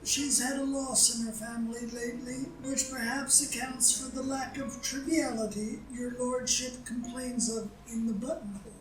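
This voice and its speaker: A woman playing an ideal butler in a comedy play reading, deadpan, deferential and formally measured. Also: fairly high pitch, lightly acted. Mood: impassive, formal. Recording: roomy, distant mic, music.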